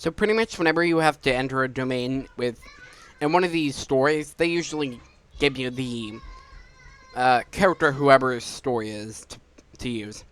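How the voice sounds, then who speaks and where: A man's voice: medium pitch, normal speed, fairly bright tone, clear, resonant tone. A man giving casual gameplay commentary as the host of a gaming video.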